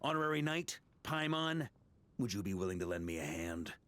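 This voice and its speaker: An actor playing an easygoing, boastful veteran knight commander in a video game, telling tales with theatrical self-assurance. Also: male voice, fairly low pitch, very animated, normal speed, a bit bright. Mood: jovial, persuasive. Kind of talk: English video game voice acting. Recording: game music behind the voice. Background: game music.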